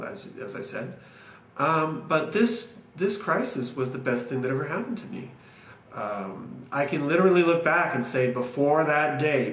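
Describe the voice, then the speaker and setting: A man's voice: medium pitch, a bit bright. A man in his forties from Canada, talking earnestly and reflectively to camera about his own life, with frequent ums and uhs. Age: 40s. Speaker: vlogger telling his story. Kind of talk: personal talk to camera. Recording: echoey room with faint ambient noise.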